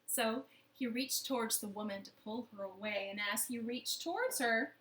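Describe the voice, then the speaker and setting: A woman's voice: a little high, normal speed, fairly bright tone, slight background noise. A woman telling a children's story expressively from her living room, speaking directly to young listeners. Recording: roomy, echoing home living room.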